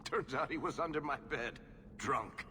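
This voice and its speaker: A man's voice: a little high, moderate pace, natural voice. An actor playing an easygoing, joking male rogue companion in video game banter, with a teasing delivery. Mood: droll, teasing. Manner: droll, teasing. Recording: reverberant with clear background noise.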